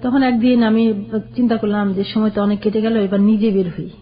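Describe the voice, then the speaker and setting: An older woman, a guest on a radio show, telling her own life story in a plain, halting way. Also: mid-range voice, flat delivery. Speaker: caller guest. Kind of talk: personal storytelling. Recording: dull lo-fi radio sound.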